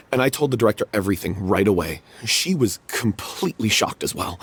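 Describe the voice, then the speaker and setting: A guilt-ridden troupe member. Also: male voice, on the low side, husky. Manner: confessional, growing desperate self-blame. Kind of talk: video game voice acting.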